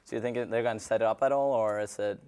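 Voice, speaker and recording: A man's voice: lowish voice, fairly even intonation, darkish tone, smooth. A young man, Norwegian native, co-hosting a college radio arts segment, rambling in chat and reading announcements. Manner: halting, rambling with fillers in chat. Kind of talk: casual chat and read announcements.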